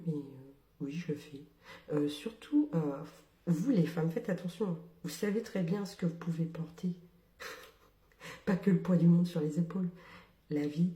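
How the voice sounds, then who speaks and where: A woman's voice: fairly low pitch, mellow, echoey room. A young woman from metropolitan France talking ironically to camera, laughing often and dropping into whispered asides. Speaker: social media creator. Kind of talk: casual monologue to camera.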